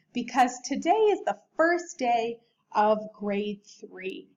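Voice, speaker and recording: Animated woman reading aloud, slow and expressive. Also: medium pitch, highs cut off, slight background noise. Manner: deliberate, animated storytelling to young children. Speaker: teacher reading to a third grade class. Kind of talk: expressive picture-book read-aloud for children.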